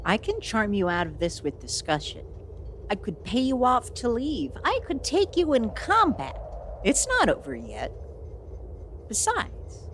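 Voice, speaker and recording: A woman's voice: on the high side, huge pitch swings, a little slow, fairly bright tone, a little hiss or hum, full character voice. A woman playing a proud, youthful immortal villain sorceress in a fantasy audio roleplay, theatrical, haughty and easily put on the defensive. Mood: boastful.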